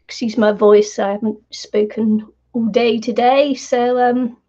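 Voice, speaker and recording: An older woman hosting a crafting live stream from home, croaky from not talking, chatty with lots of fillers and laughs. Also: a little high, steady tone, a little slow, warm tone. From New Zealand. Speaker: crafting channel host. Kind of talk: casual live stream chat. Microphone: computer mic on live stream. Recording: dull lo-fi stream audio.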